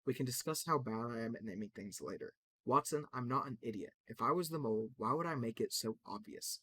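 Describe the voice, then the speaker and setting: A man's voice: medium pitch, lively intonation, a little fast, fairly bright tone, slightly rough, dry recording. A young male hacker who stays on the defensive, read by a man in a near-normal voice. He talks plainly and keeps his tone tense. The room is close and dry.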